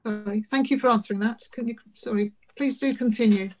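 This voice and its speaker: A woman's voice: fairly high pitch, steady tone, brisk, slight background noise. A woman moderating an online panel, stepping in politely and apologetically to pass on audience questions over a video call.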